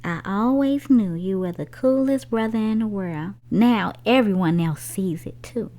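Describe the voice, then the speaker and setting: A woman's voice: mid-range voice, unhurried, mellow, lightly acted. A woman voicing a sweet, affectionate young schoolboy in a storybook, earnest and gushing. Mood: loving.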